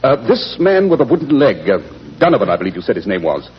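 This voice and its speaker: Fast, husky British detective. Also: male voice, fairly low pitch, big pitch swings, full character voice. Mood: probing, dry. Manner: clipped, incisive, sardonic. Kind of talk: 1940s radio acting. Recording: muffled, reverberant 1940s radio recording.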